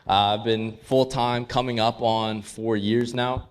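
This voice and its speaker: A man's voice: mid-range voice, fairly even intonation, a little slow. Upbeat young Canadian man presenting. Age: 20s. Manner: casual, filler-heavy, upbeat. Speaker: conference speaker. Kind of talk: casual conference presentation. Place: convention hall stage.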